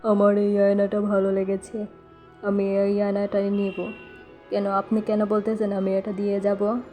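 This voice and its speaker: A stubborn, doting older brother in a cartoon, voiced by a woman. The voice is mellow and fairly flat in pitch, with faint music behind the plain delivery. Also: unhurried, lightly acted. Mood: annoyed.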